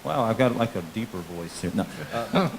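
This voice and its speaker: A man's voice: lowish voice, animated, normal speed, rough recording. A man explaining a proposal to a town council as police chief, in an informal, plain presenting style. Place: town council meeting room. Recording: faint hiss on meeting microphone.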